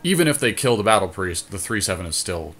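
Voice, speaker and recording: A man's voice: low voice, very animated, quick pace, a bit bright, slight background noise. A man co-hosting a gaming podcast, casually thinking through card game moves aloud in informal, slangy language.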